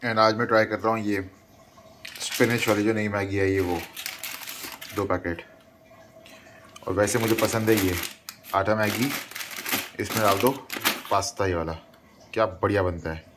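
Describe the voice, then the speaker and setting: A man's voice: on the low side, fairly even intonation, a little slow, long pauses, noisy background. A male travel vlogger chatting casually and playfully to his viewers, mixing English words into his talk.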